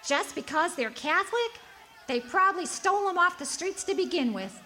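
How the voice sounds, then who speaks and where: A woman plays a self-righteous townswoman stirring up trouble in a Western radio play. Her voice is high, scornful and haranguing. Faint noise sits behind the live stage recording. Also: expressive, normal speed, sharp tone, exaggerated voice. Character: self-righteous, bigoted frontier townswoman, indignant agitator. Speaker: radio drama actor. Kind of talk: live radio drama acting. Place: hotel event room, live performance.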